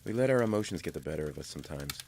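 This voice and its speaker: A man's voice: extremely low pitch, expressive, very fast, vocal fry, darkish tone, a little hiss or hum, full character voice. A man playing a reassuring father who chats about old customs in an audio drama. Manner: reassuring, chatty.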